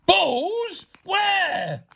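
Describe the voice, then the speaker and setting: A woman's voice: medium pitch, animated, normal speed, creaky, husky, pitched above the performer's own voice. A woman voicing a manic, thundering male schoolteacher in a storybook, spluttering and repeating in exasperation. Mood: frantic. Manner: bellowing, repetitive, spluttering.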